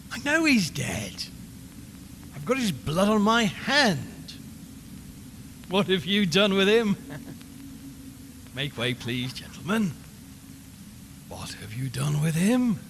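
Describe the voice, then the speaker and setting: A man's voice: sits high, big pitch swings, slow pace, long pauses, fairly bright tone, a little hiss or hum, pitched above the performer's own voice, full character voice. A man playing a radio reporter losing his mind in a haunted house, ranting and muttering brokenly in an audio horror drama. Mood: unhinged. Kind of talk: audio horror drama acting, live broadcast monologue.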